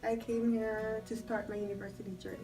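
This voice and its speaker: A woman's voice: fairly high pitch, little pitch movement, a little fast, far from the mic, over loud music, rough recording. A young woman from Uganda, an international student, answering interview questions in a composed, plain way. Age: young adult.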